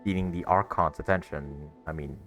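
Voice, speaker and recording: A man's voice: a bass voice, steady tone, normal speed, a bit bright. A man hosting a gaming video, giving casual, playful let's play commentary as he reacts aloud to the game. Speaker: gaming video host, streamer. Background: game music.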